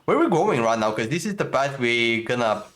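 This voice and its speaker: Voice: mid-range voice, very animated, a little fast, fairly bright tone, slight background noise. A game streamer giving casual commentary, reacting, questioning and thinking aloud while playing. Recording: voice over game music.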